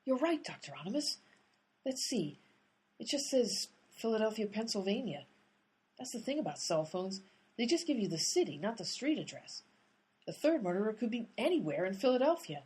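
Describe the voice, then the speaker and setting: An impatient amateur detective, played by a woman, talks briskly and sounds exasperated in a comedy radio play. Her voice is husky and breathy, with lively, swinging pitch. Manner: brisk and exasperated, pushing the case forward.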